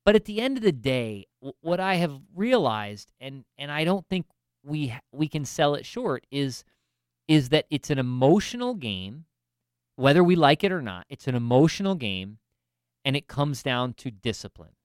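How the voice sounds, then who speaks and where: A man's voice: a little high, very animated, normal speed, clear, resonant tone, no room sound, studio quality. A man in finance telling a self-deprecating story in casual chat, with lots of restarts and asides. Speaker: finance professional.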